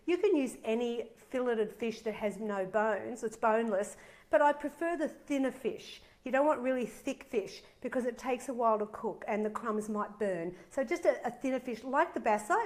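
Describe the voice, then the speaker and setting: A bubbly, middle-aged woman hosts a live cooking show from her home kitchen. Her voice sits a little high and sounds slightly nasal from a head cold. She chats with enthusiasm as she walks viewers through each step. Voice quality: a bit nasal. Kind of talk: live cooking demonstration, chatty.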